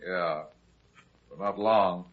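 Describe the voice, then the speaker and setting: A hardboiled private eye from vintage detective radio, lowish and husky. He is terse, shrewd and commanding, and the old recording is muffled. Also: takes it slowly. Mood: terse, urgent. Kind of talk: 1950s radio detective drama acting.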